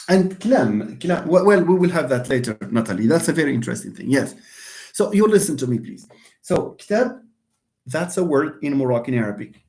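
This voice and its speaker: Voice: medium pitch, a little mellow. A university lecturer giving a live online lecture, emphatic, repeating phrases and speaking straight to students.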